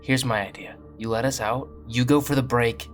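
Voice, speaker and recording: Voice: mid-range voice, steady tone, moderate pace, soft background music. A tabletop game player improvising dialogue in character, in a casual conversational style.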